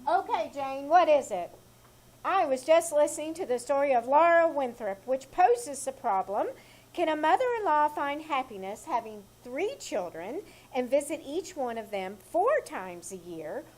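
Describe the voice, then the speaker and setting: A woman playing a stubborn, sassy housemaid in a live reenactment of an old-time radio comedy, with a deadpan delivery. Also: sits high, moderate pace, poor audio. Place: small theater stage.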